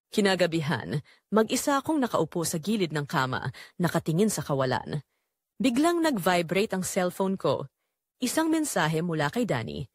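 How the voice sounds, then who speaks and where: A woman with a Filipino accent reading a scripted first-person story in a measured, dramatic way. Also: on the low side, dry recording, studio quality. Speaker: audiobook narrator.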